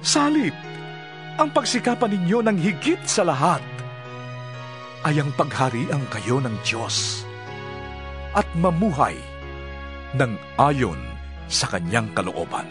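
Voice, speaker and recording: An actor playing an earnest male teacher preaching to followers in a dramatized scripture reading, solemn, deliberate and expressive. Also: on the high side. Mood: reassuring, urging. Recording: background music under the voice. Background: music.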